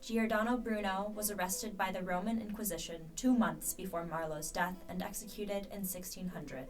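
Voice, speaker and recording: A woman's voice: mid-range voice, steady tone, moderate pace, low-quality recording. A woman reading scripted, factual narration as the narrator of an epilogue and credits. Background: plucked guitar music.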